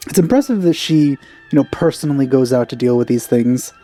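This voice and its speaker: A woman's voice: extremely low pitch, animated, fairly quick, mellow. A woman hosting a let's play, giving casual, laid-back, off-the-cuff commentary on a video game. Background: faint game music.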